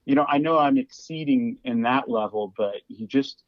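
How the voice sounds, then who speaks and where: A man with a Midwestern accent talks shop as a podcast guest. He rambles, repeats words and restarts his sentences often. His voice sits at a middle pitch and sounds dry and slightly dull, as if from a lo-fi recording. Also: normal speed, no room sound. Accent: Midwestern American. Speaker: guest, flooring business owner. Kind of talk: casual podcast conversation. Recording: dull lo-fi audio.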